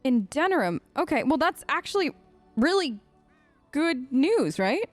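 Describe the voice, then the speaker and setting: A chatty woman role-playing aloud as she hosts a game playthrough. Her voice is high and very lively in pitch, expressive and reactive, recorded close and dry. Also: a little slow, dry recording, soft background music. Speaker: let's play host. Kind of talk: improvised in-character role-play over a game.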